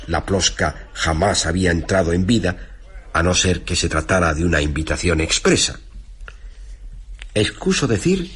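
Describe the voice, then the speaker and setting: A man reading a literary story in the first person, theatrical and measured, with deliberate pauses between phrases. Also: lowish voice. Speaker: narrator reading a short story. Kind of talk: dramatic literary radio narration in first person. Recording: music under the voice. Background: music.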